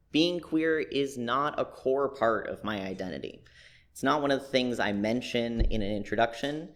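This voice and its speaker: Young man, church testimony, plain-spoken, candid, average male pitch. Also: a bit bright. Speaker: church member giving a personal reflection. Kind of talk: prepared personal testimony.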